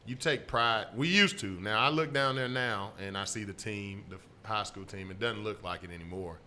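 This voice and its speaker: Animated man, bright voice. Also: lowish voice, moderate pace, slight background noise. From Texas City, Texas. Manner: animated, storytelling with many fillers. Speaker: podcast co-host. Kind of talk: casual chat.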